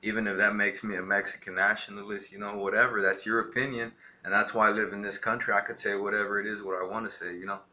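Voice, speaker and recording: Bright-voiced man ranting defiantly. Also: lowish voice, level tone, fast talker, few pauses. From Texas, USA. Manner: rambling, defiant, heavy on "you know" fillers. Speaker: vlogger. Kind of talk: casual ranting monologue to camera.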